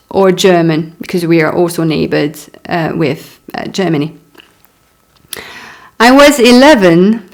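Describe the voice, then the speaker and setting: A woman in her thirties whose first language is French, reading her own memoir aloud and stopping for chatty asides. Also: medium pitch. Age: 30s. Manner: unhurried reading broken by chatty asides and little laughs. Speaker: author.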